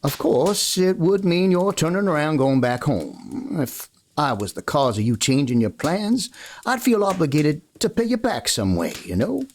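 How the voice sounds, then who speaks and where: Actor as kindly Old West drifter, folksy, halting, lively pitch swings, faint noise, radio play. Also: male voice. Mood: gently coaxing. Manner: folksy, halting, gently coaxing. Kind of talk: western radio play acting.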